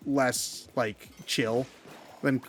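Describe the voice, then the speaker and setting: A man's voice: mid-range voice, fairly even intonation, extremely slow, slight background noise. A man giving casual video game commentary, talking in a hesitant, rambling way. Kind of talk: casual gameplay commentary chat.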